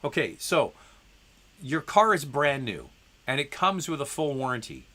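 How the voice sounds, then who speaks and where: Bright-voiced man with a stuffy head cold. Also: fairly high pitch, expressive, a little slow. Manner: conversational, with frequent ums and restarts; reads viewer messages aloud. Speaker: host answering viewer questions. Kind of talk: live stream Q&A.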